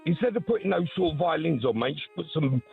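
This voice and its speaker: A man with a croaky voice calling a radio phone-in, animated and full of matey slang. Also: fairly high pitch, fast, faint music behind. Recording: tinny phone line.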